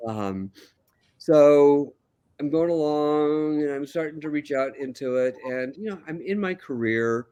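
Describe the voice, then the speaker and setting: Calm older man telling his story. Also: fairly high pitch, unhurried, clean tone, dull audio. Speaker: interview guest. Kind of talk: casual storytelling.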